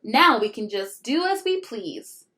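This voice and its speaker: A woman voicing excitable, mischievous schoolchildren in a storybook read-aloud. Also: a little high, measured pace, full character voice. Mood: gleeful. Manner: exclaiming, excitable.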